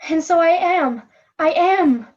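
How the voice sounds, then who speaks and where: A woman's voice: very high voice, slow pace, darkish tone. A woman playing a tender, grieving princess devoted to her father in a Shakespeare reading, recorded lo-fi. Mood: loving, pleading. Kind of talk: readers' theatre Shakespeare. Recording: dull, lo-fi sound.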